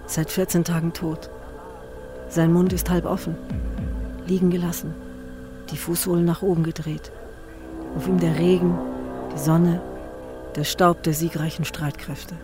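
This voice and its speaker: A woman's voice: on the low side, little pitch movement, measured pace, pauses often, close to an everyday voice. An actress playing a grieving woman in a radio drama, waiting for her missing man and narrating inwardly in sparse, fragmented phrases. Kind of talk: German radio drama, literary monologue. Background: music bed.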